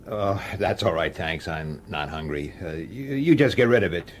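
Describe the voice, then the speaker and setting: An actor playing a gruff, wary police detective in a radio drama, talking in a blunt, colloquial, urgent way. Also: male voice, sits low, expressive, moderate pace. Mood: weary. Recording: noisy old radio drama soundtrack.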